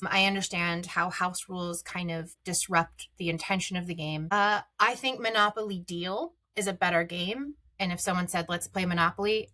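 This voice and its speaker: Bright, chatty middle-aged woman guest. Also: lowish voice. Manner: chatty, many "um" and "uh" fillers. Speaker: podcast guest, content creator. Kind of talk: casual podcast interview.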